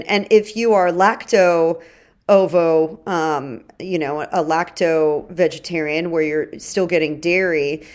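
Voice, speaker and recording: A woman with a fairly low voice hosts a podcast. She explains nutrition conversationally, addressing listeners directly with informal, emphatic phrasing. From Egypt. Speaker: podcast host and chef.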